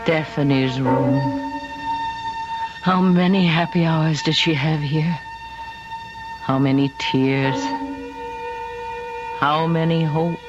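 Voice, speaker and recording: Slow-spoken, wistful older housewife. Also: female voice, sits low, fairly even intonation. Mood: brooding, wistful. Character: gentle, wistful older childless housewife, lonely and dutiful. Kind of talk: old-time radio drama acting. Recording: muffled old radio recording with music behind.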